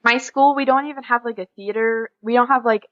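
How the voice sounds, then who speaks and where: A woman's voice: a little high, steady tone, brisk, fairly bright tone, muffled recording. A teenage American woman chatting casually as an interview guest, informal and peppering her talk with "like". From USA, small town in Oklahoma. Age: teens. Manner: informal, frequent "like" as filler. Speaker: interview guest, exchange student. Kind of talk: casual chat.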